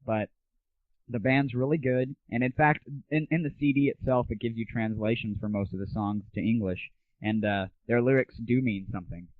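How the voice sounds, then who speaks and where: A man's voice: mid-range voice, normal speed, no echo. A man hosting a casual audio show, ranting in a blunt, irreverent and exasperated manner. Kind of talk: casual opinionated gaming and music rant. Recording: tinny, phone-like lo-fi 1990s audio.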